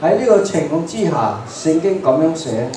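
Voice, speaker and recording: Hong Kong Cantonese preacher in an echoey hall. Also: male voice, on the high side, very animated, dark tone. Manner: emphatic, speaks in short phrases and pauses for the interpreter. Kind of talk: bilingual sermon. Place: church hall. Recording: very echoey hall, distant mic, faint hiss.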